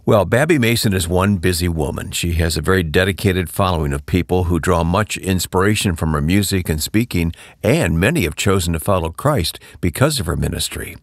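A man from Michigan hosting a radio interview program, friendly and quick to laugh along with his guest. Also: deep voice, no room sound, studio quality. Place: recorded separately from guest over online call.

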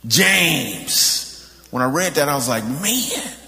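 Male stand-up comic, higher voice, colloquial mock disbelief, live reverberant venue. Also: very animated, slow, vocal fry, pushed and bright. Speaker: stand-up comedian. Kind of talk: stand-up comedy. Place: large venue, live performance. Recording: reverberant noisy live hall.

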